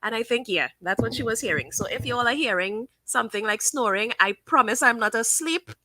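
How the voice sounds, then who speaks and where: A woman hosting a casual live stream, giving her opinions and reading viewers' comments aloud in a chatty, thinking-out-loud way. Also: on the high side, lively intonation, a little fast, dry recording, rough recording. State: sniffly from sinus trouble. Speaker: livestream host.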